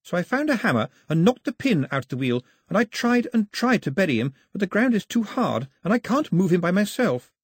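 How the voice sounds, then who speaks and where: A nervous, talkative shipwrecked girl, as a male reader puts her on, rushing out apologies in a high, lively voice. Her tone is slightly husky and very dry, with swooping pitch. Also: no echo, heavily acted. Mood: anxious. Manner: breathless apologetic rambling. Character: anxious chatty shipwrecked young girl.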